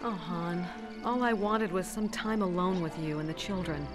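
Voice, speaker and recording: An actress in an audio drama playing a firm yet warm rebel leader and expectant mother. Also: lowish voice, moderate pace, music in the background, natural voice. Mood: motherly. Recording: loud score and vehicle sound behind.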